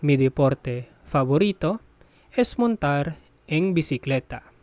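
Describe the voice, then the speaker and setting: A Spanish teacher's voice reading a bilingual phrase drill, saying each phrase, translating it, then repeating it deliberately. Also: mid-range voice, expressive, no echo.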